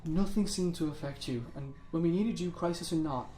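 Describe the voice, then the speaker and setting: A worried young man played by a male actor in a radio drama, with a fairly high voice. He is a loyal friend, steady in a crisis and natural in delivery, over faint background noise. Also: brisk, lightly acted. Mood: tender, pleading.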